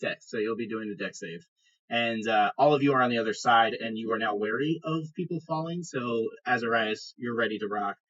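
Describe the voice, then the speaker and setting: A man runs a tabletop fantasy game, narrating casually. His voice is husky with a forceful, sharp edge. The recording is slightly dull. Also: medium pitch, brisk. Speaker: game master.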